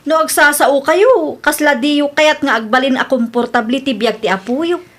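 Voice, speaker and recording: A woman with a Filipino Ilocano accent playing an excitable, stubborn expectant young mother in a radio comedy drama, insistent and complaining. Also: high voice, moderate pace. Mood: offended, complaining. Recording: background music under the voice.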